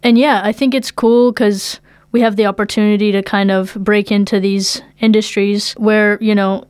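Young kiwi woman chatting in an interview, slangy and informal, smooth clear voice, really dry close sound. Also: medium pitch, little pitch movement, moderate pace, a little mellow, dry recording. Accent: New Zealand. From Philippines, moved to New Zealand at eight. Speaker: musician, interview guest. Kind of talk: casual interview chat.

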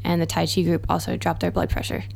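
Woman, American accent, young adult, easygoing interview guest, quick to laugh, slightly low pitch. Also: creaky. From United States. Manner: laughs easily, relaxed and chatty. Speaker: guest researcher. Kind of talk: casual interview chat.

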